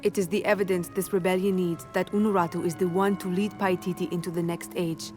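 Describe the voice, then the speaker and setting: Solemn low-voiced woman warrior acted by a woman. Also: little pitch movement, brisk, a little mellow, close to an everyday voice. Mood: grave, resolute. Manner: formal, declarative. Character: solemn, proud woman warrior, duty-bound rebel leader. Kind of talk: video game cutscene acting. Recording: music under the voice.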